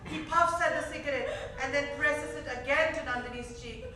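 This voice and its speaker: A woman narrates stage directions in a high, bright voice. She reads from a script, heard at a distance in a very reverberant theater with faint noise. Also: fairly quick.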